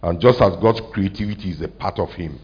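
A man, a pastor preaching a conversational sermon to his congregation, often asking them questions and slowing down to stress a point. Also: low-pitched, muffled recording. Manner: conversational, asks the congregation questions, slows for emphasis. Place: church hall with congregation.

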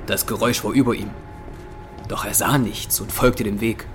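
Male narrator for a scripted audio drama, lowish voice, prominent music underneath. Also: fairly even intonation, moderate pace, fairly bright tone.

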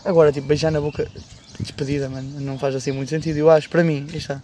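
A man's voice: on the high side, flat delivery, racing, warm tone, slight background noise. A young man from Portugal with a European Portuguese accent, hosting a podcast in casual, slangy, joking chat. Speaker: podcast host.

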